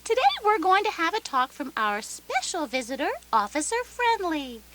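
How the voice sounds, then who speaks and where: A woman's voice: high voice, big pitch swings, normal speed, a bit bright, slight background noise. A woman playing a patient, slightly flustered schoolteacher in a satirical radio play.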